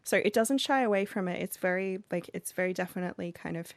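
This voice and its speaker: A woman's voice: lowish voice, fast talker, no room sound. A young woman co-hosting a podcast in casual chat, laughing easily and reading passages aloud. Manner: reads passages with put-on voices, laughs easily. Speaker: podcast co-host.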